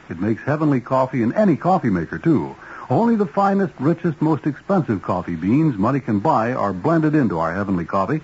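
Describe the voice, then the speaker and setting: A male announcer reads an old radio commercial with lively, swooping emphasis. His voice is a little husky and of medium pitch. The sound is dulled like a vintage broadcast. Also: highs cut off. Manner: emphatic, sales-pitch delivery. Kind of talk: 1970s radio advertisement read.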